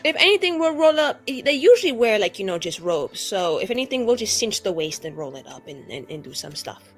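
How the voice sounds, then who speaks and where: Woman playing a lively, chatty young female mage. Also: mid-range voice, fairly quick, soft background music. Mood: planning. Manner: casual, filler-heavy, animated.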